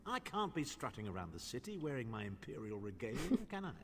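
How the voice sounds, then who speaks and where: A scornful, sardonic old imperial noble mage, voiced by a man in a fantasy game, his mid-pitched voice hanging in a long reverb. Faint ambient sound sits under his lofty lines. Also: sing-song, a little fast, noisy background, lightly acted. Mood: condescending. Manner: condescending, dryly sardonic. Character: haughty older male imperial noble mage. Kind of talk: game character dialogue.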